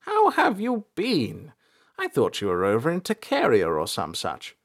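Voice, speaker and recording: Excitable old elder, pitch leaping high. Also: male voice, unhurried, full character voice. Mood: delighted. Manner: exclaiming, nostalgic, fond. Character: excitable, doddery, warm old male elder.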